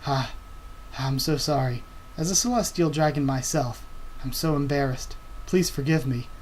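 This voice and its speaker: A young man reacting live to a new manga chapter, excitable and thinking aloud with stammering restarts. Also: mid-range voice, moderate pace, slight background noise, low-quality recording.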